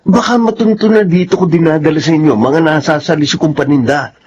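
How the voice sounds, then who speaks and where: An actor as a deferential shady dealer. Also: male voice, fairly high pitch, brisk, darkish tone, lightly acted. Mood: anxious warning. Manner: polite, cautionary. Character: Doro, deferential shady male dealer in stolen goods. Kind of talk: Tagalog radio drama acting. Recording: dull recording with faint noise.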